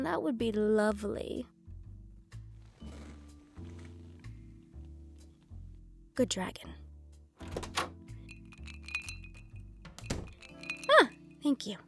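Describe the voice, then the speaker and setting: A woman's voice: mid-range voice, lively intonation, normal speed, soft background music, lightly acted. A woman voicing a composed, condescending female mafia underboss in an audio roleplay, mock-polite and coolly threatening. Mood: coolly businesslike.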